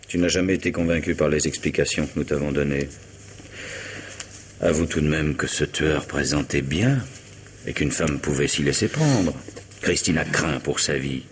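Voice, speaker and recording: A commanding, level-headed lawyer in a French radio mystery. His voice is deep and his phrasing measured and articulate, that of a firm, reassuring friend. There is faint noise on the old recording. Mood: firm, reproachful.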